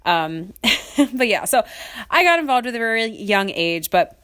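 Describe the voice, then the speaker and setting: A woman's voice: medium pitch, very animated, unhurried, fairly bright tone, clear, resonant tone. A young adult woman hosting a casual solo podcast. She has a cold and sniffles, and she rambles with lots of "um"s and frequent little laughs. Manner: chatty and rambling, laughs often, many ums. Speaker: podcast host.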